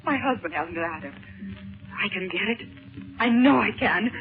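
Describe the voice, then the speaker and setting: A woman's voice: medium pitch, lively intonation, normal speed, faint music behind, close to an everyday voice. An actress playing a desperate captive mother in a 1930s radio serial, imploring in a breathless, melodramatic way. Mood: desperate pleading. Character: desperate, pleading mother held captive, a woman. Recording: tinny, band-limited 1930s radio recording.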